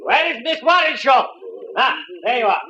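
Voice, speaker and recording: An actor playing a harried stage manager calling out orders backstage in an old radio drama. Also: male voice, very high-pitched, expressive, normal speed, bright, forceful tone, muffled recording, heavily acted. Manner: calling out, bossy. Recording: roomy stage sound from far off.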